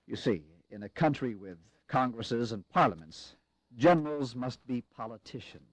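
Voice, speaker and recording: Guy acting as tired general in a history audio drama, measured and grave, kinda wry, very dry close sound. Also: medium pitch, expressive, no echo, lightly acted. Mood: resigned, explaining. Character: weary, pragmatic male army commander, resolute and wry.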